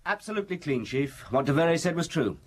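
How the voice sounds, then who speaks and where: An actor playing an eager junior police detective in a radio drama, reporting in clipped, dutiful phrases. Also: male voice, fairly high pitch, big pitch swings, fast, breathy edge. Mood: eager, brisk.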